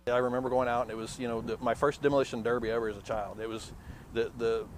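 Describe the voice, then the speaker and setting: A middle-aged man answering interview questions off the cuff, in a halting way with repeated words. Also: mid-range voice, level tone, a little hiss or hum. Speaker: interviewee, local resident.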